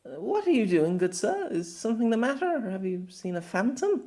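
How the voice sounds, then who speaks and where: A man's voice: high-pitched, very animated, normal speed, mellow, pitched above the performer's own voice. A man voicing a cheerful, gallant young woman knight with courtly, archaic phrasing in an audiobook. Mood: bemused. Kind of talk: audiobook character voice.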